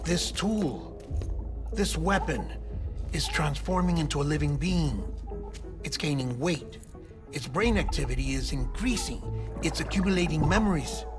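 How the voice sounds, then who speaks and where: An actor playing an excitable, fussy middle-aged scientist in a cinematic video game, explaining things in a clinical yet chummy manner. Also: male voice, on the high side, a little slow, music in the background. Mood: grave, clinical. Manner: explanatory, animated, matter-of-fact.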